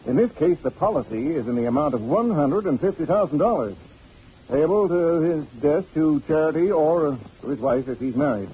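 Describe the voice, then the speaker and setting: An actor playing a proper, worried insurance executive, formal and earnest, in 1940s radio drama style. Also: male voice, on the high side, animated, a little fast, breathy edge. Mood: disapproving, candid. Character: proper, worried American insurance executive, businesslike older man. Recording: muffled, noisy 1940s radio broadcast.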